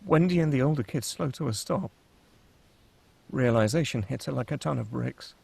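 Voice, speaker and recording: A man's voice: medium pitch, normal speed, creaky, a little mellow, husky. A man reading stage directions as the narrator of a screenplay, in a dramatic scripted style.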